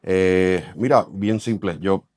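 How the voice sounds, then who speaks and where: A man's voice: deep voice, slow, darkish tone, no echo, studio quality. A middle-aged Puerto Rican man with a Puerto Rican Spanish accent, a developer answering interview questions in a thoughtful way, with many fillers and restarts. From Puerto Rico. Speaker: real estate developer, company president.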